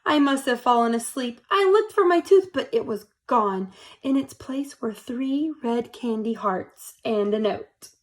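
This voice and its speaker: A woman reading a picture book aloud to young children in an animated, expressive way, speaking to them directly. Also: on the high side, unhurried.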